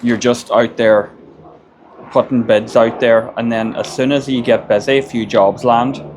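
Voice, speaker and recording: A man's voice: medium pitch, little pitch movement, unhurried, darkish tone, slight background noise, rough recording. An Irish man, a construction business owner, gives plain-spoken advice in a casual monologue and often repeats words as he gathers his thoughts. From Ireland.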